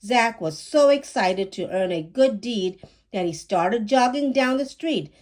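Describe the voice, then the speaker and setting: A woman storyteller reading a children's book aloud in a measured, expressive way. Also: fairly high pitch. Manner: measured, acts out dialogue. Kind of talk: expressive scripted read-aloud narration.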